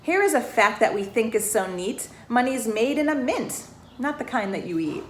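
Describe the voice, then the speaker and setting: A woman reading a rhyming children's storybook aloud in an expressive way, pausing to put questions to the young listeners. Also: fairly high pitch. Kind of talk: children's storybook read-aloud, rhymed verse with asides to listeners. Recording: roomy echo, faint background noise.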